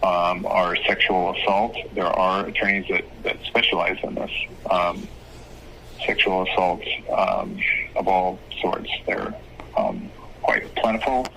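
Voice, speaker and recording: Low voiced guy lawyer explaining stuff on a phone call, kinda hesitant, sharp bright tone, bit of noise. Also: expressive, a little slow, gravelly. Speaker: attorney giving legal advice. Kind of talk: phone consultation, casual advice.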